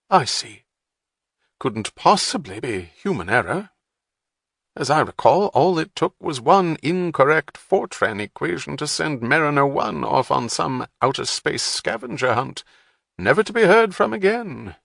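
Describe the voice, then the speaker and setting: A man voicing a polite, wry male historian with a plummy English accent and a measured, professorial manner. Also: fairly high pitch, sing-song, gravelly, fairly bright tone, slightly rough, no echo, studio quality, pitched above the performer's own voice, full character voice. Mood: genteel. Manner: measured, genteel, slightly professorial.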